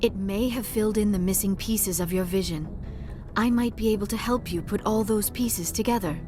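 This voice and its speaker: Woman as young alien researcher, mid-pitched, polite, earnest, video game drama, clear music underneath. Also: moderate pace, close to an everyday voice. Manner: polite, earnest, careful phrasing.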